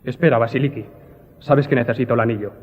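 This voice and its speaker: An actor playing a loyal, suspicious guard in a radio drama, wary and commanding. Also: male voice, mid-range voice, quick pace, slightly rough, close to an everyday voice. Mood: wary, challenging. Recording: slightly muffled, long cave-like reverb.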